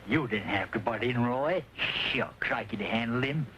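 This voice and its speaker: An actor playing a feisty, folksy rancher with a drawl in an old Western, scrappy and quick to fight. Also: male voice, mid-range voice, natural voice. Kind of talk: old Western film acting. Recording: muffled, hissy old film soundtrack.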